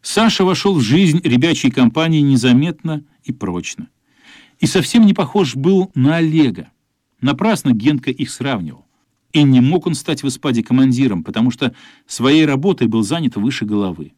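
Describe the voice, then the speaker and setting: A man's voice: medium pitch, animated, moderate pace, a little mellow, no room sound, clean studio sound, natural voice. A man narrating a children's radio play as a storyteller. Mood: measured storytelling.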